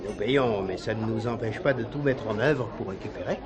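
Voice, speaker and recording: A man's voice: fairly low pitch, brisk, a loud crowd, close to an everyday voice. A man acting a persistent, formal insurance investigator in a French stage play, precise and insistent. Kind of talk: French theatre dialogue acting.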